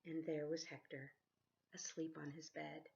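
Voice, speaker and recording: A woman reading a children's picture book aloud expressively, like a teacher, pausing to ask her listeners questions. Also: low-pitched, flat delivery, gravelly, a little mellow. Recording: dull lo-fi sound.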